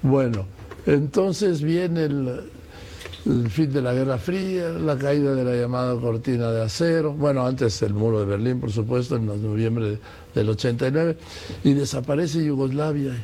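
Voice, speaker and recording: Older man interviewer, mellow voice. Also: mid-range voice, moderate pace, slight background noise. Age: 70s. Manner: conversational, prompting with dates and history. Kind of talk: casual interview.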